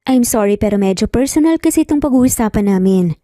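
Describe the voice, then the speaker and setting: Woman as a mellow, self-assured Filipino heiress. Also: a little high, expressive, quick pace, no room sound, clean studio sound, lightly acted. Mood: politely dismissive. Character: elegant, self-assured wealthy female hotel client. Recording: background music under voice.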